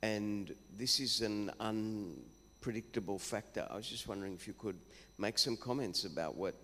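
A man's voice: lowish voice, moderate pace, fairly bright tone. A man in the audience putting a long, formal question to a panel, with some fillers. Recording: reverberant hall with faint hum.

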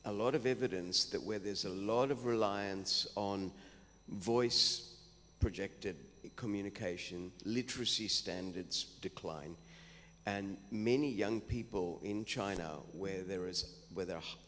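Low-voiced male questioner, formal and wordy, in a hall with a faint hum. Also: measured pace, fairly bright tone. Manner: formal, long-winded, with fillers. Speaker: audience questioner.

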